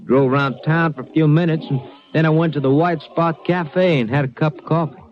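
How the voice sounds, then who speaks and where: An actor playing an earnest, uneasy ranch hand in old radio drama style. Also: male voice, fairly high pitch, fairly even intonation, fairly quick, lightly acted. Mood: earnest recounting. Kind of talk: 1940s-50s radio drama acting. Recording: dull, noisy vintage radio recording.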